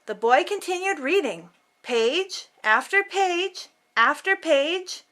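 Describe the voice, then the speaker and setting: A woman's voice: very high-pitched, animated, takes it slowly, fairly bright tone. A woman reading a children's picture book aloud as host, theatrically, drawing out words for emphasis.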